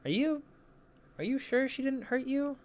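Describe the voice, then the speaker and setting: Concerned best-friend student for audio drama, male actor; animated pitch, casual, unsure, kindly. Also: slow pace. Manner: hesitant, casual.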